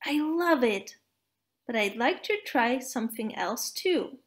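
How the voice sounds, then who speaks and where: A woman's voice: a little high, lively intonation, slow. A woman voicing an eager, naive, cheerful male snowman in a children's storybook, bubbly and wide-eyed. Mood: happy.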